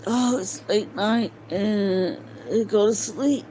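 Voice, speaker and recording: A woman reading a novel aloud informally, halting and stumbling over words, with muttered casual asides to herself. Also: medium pitch, warm tone, a little hiss or hum, poor audio. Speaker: amateur reader of a novel aloud.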